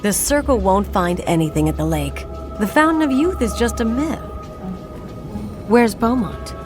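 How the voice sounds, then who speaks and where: An actress as a tough railroad boss woman in a game cutscene. She speaks in a brisk, no-nonsense way, with animated, swinging intonation. Faint music plays underneath. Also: mid-range voice, close to an everyday voice. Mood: skeptical. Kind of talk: scripted video game cutscene acting.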